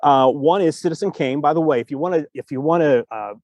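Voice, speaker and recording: A man with a somewhat high voice talks as a podcast host. He explains things casually in a rambling, animated way, voicing reactions as he goes. The sound is very dry, with no room to it. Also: fast talker, dry recording, highs cut off. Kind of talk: casual discussion of storytelling. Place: remote video call.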